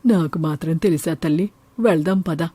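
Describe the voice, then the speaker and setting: A humble farmer father in a cartoon moral tale, put on by a woman. He is gentle and mellow, set well below the narrator's pitch, and recorded dry. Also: normal speed, breathy edge, dry recording. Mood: tender. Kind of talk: animated moral story acting.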